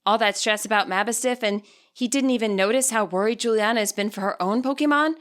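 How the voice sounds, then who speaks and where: Bright, high-pitched woman narrating a story. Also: fast. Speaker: author and audiobook narrator. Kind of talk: expressive scripted narration.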